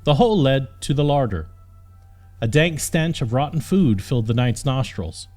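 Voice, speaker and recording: Guy reading a fantasy storybook, dramatic narrator style, average pitch, music playing behind him. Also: animated, moderate pace, a little mellow. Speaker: audiobook narrator. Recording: music under the voice. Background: background music.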